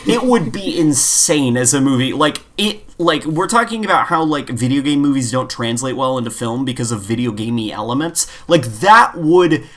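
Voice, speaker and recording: A man's voice: mid-range voice, lively intonation, moderate pace, few pauses, fairly bright tone. Opinionated, exasperated male podcast co-host. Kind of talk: casual gaming podcast chat.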